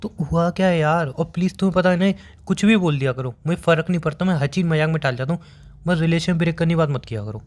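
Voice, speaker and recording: A man's voice: a little high, fast talker, darkish tone, lightly acted. A young man with an Indian accent acting as a worried, devoted boyfriend in a phone-call drama, pleading and questioning insistently. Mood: exasperated.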